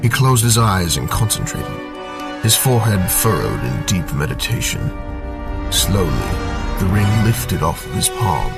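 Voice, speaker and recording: Deep-voiced man narrating over loud music. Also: sing-song, a little slow, gravelly. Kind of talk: dramatic scripted story narration. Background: cinematic soundtrack music.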